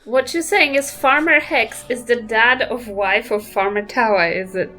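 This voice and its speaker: A woman's voice: a little high, unhurried, pushed and bright. A woman with a New Zealand accent playing a tabletop game, making dry, sarcastic asides. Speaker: player. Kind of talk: casual tabletop play.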